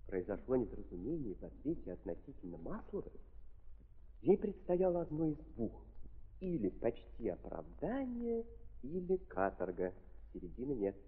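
Casting: troubled juryman, raspy, animated, earnest, tinny vintage drama sound. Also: mid-range voice, normal speed. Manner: earnest, emphatic explaining. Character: troubled, earnest juror reasoning about a mistaken verdict. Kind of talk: 1930s Russian radio drama acting. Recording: tinny 1930s recording, long reverb.

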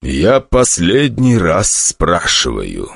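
A man reads a soulless demon hunter, deep and slow, every threat delivered in the same flat, mechanical tone. The sound is dry and close. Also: gravelly, breathy edge, dry recording, full character voice. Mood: cold menace. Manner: flat, machine-like, dry menace. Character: cold emotionless male demon hunter.

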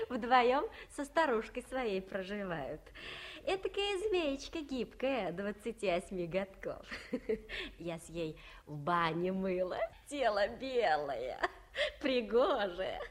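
An actress playing a gossipy young village wife in a 1950s radio play, talking in folksy rural speech and giggling often. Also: female voice, mid-range voice.

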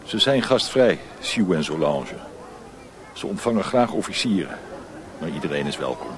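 Radio play: jaded naval officer, deep voice, clipped, dismissive, outdoor bustle behind. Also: male voice, big pitch swings, moderate pace, a faint crowd, slight background noise. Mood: detached. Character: worldly, detached male naval officer who smokes opium. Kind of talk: radio drama acting. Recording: distant voice in busy outdoor scene.

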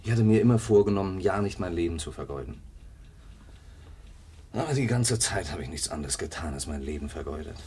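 A man's voice: sits low, lively intonation, brisk, warm tone, slightly rough, heavily acted. An actor playing a restless, self-doubting drifter in his thirties in a 1950s German radio play, brooding, given to bursts of fervour. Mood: brooding, despairing. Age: 30s.

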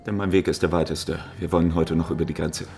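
Callous hired killer, very deep and gravelly, sly, matter-of-fact, vintage 1950s radio drama. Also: male voice, fairly even intonation, quick pace, darkish tone, soft background music. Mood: businesslike. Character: callous, businesslike male hired killer, rough bandit.

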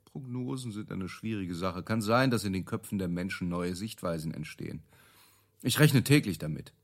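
Low-voiced, self-assured businessman. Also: animated, moderate pace. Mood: pondering, confident. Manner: terse, assertive, jargon-heavy. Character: self-assured, cool businessman giving an interview. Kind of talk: naturalistic radio drama dialogue.

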